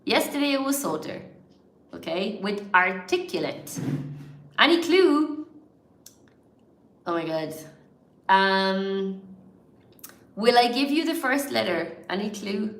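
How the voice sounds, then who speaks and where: A woman's voice: medium pitch, animated, takes it slowly, long pauses, fairly bright tone. An Irish woman, an English teacher, hosting a live word game for her viewers, chatty and encouraging, laughing often and greeting people by name. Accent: mild Irish, largely neutral. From Ireland. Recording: echoey room.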